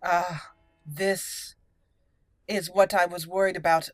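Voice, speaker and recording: Low-key woman narrating a game, music behind. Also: flat delivery, slow pace, no room sound. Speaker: let's play host. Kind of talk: casual gameplay commentary with roleplayed dialogue readings.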